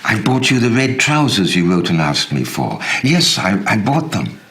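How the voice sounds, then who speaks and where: Tender, pleading uncle acted by a man, raised pitch. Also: very animated, normal speed, fairly bright tone. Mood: tender, coaxing. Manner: urgent, coaxing, repeating himself. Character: anxious, tender uncle pleading with his young niece.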